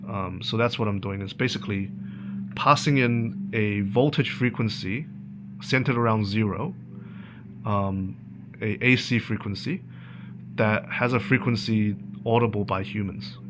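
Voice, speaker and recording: A man giving an informal, unscripted technical explanation as a tutorial presenter, halting and thinking aloud as he goes. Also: on the low side, background noise.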